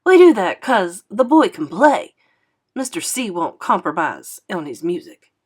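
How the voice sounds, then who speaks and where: A woman's voice: medium pitch, very animated, unhurried, slightly rough. A woman voicing a teasing, proud, blunt male musician from a 1930s jazz band in a storybook. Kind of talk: acted storybook dialogue.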